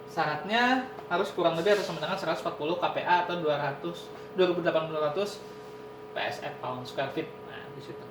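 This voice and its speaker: A man giving an online university lecture, explaining step by step and often saying "nah" and "ini". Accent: Indonesian. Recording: echoey room with noise and rumble.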